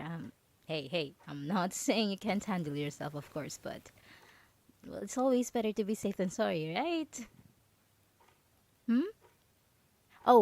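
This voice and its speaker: Possessive roommate, female woman acting: ASMR roleplay, unhurried and hesitant, falsely innocent and nervously sweet, very dry recording. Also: mid-range voice, a little mellow, no echo. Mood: awkward, nervous. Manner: halting, nervous, giggly, hesitant with many trailing pauses. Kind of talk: ASMR roleplay audio drama monologue.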